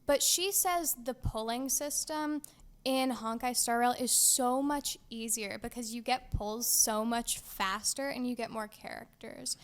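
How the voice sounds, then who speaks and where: Young female co-host, bubbly and giggly, slightly high voice, casual gaming chat. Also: measured pace. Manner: excitable, giggly, lots of fillers. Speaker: podcast co-host.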